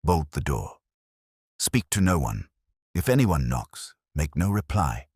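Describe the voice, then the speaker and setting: A man narrating puts on a commanding, austere detective for a mystery audiobook. The voice is extremely deep and dry, with clipped, deliberate pronouncements that rise and fall freely. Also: sing-song, darkish tone, husky, dry recording, full character voice. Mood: grave, commanding.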